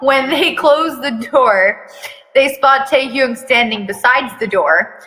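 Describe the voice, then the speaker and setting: A woman with a sharp, bright voice reads fan fiction dramatically at an unhurried pace. She breaks away for irreverent, sweary commentary. The recording rings with long reverb. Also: high voice. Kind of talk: dramatic reading with joking commentary. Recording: long echoing room reverb.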